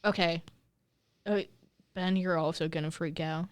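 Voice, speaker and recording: Low-voiced young woman co-host. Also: fairly even intonation, a little slow, clean tone. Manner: reads out a list, matter-of-fact. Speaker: podcast co-host. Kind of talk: casual chat.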